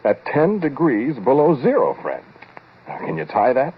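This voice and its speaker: A man's voice: medium pitch, sing-song, moderate pace, husky. An American actor playing a skeptical, teasing scientist who tells his eerie story in a conversational 1940s radio drama style. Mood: animated recounting. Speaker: narrator and lead actor. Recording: noisy, narrow-band 1940s radio recording.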